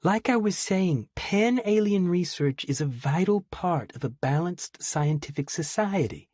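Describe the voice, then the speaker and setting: A man voicing an earnest, preachy young woman researcher with a breathy, thin voice in a storybook reading. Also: high voice, animated, a little slow, darkish tone, no room sound, studio quality, pitched above the performer's own voice. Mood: lecturing. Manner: lecturing, insistent.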